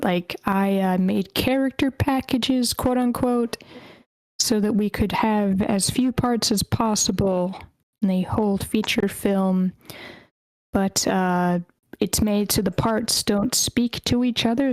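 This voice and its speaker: Woman, meeting host, casual organizer, mid-pitched voice, dry sound. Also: a little mellow, dry recording, rough recording. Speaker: host of an online table read. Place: online voice meeting.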